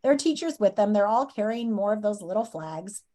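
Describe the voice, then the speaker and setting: A woman's voice: medium pitch, lively intonation, normal speed, warm tone. A woman teacher reading a story aloud and giving lesson instructions to pupils, expressively and directly.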